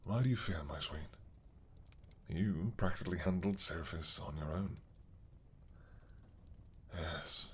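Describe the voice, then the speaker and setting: A man voicing an old, once-mad dragon king who is possessive and doting, speaking slowly with a tender, teasing manner in an audio roleplay. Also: deep voice, husky, exaggerated voice.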